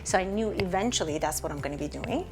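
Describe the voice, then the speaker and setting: A woman's voice: on the low side, lively intonation, fast, music in the background. A woman from Iran, an interior designer, chatting casually as an interview guest.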